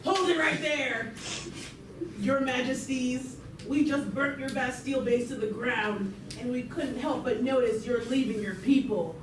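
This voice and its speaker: A woman's voice: sits high, measured pace. Girl doing a cocky young rebel tyrant, puppet skit, echoey and far off. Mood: defiant. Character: brash, power-hungry young male space revolutionary. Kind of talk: amateur comic puppet show. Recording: echoey distant recording with clear noise.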